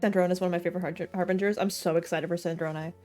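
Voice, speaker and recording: A woman's voice: on the low side, fairly even intonation, very fast, mellow. A woman live-streaming a video game, chatting casually in a rambling, reactive way. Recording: game music behind the voice.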